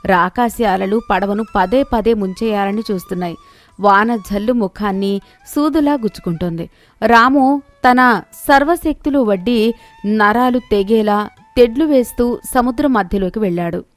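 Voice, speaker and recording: A woman's voice: medium pitch, normal speed, faint music behind. A woman narrating a scripted moral story in a dramatic storytelling style.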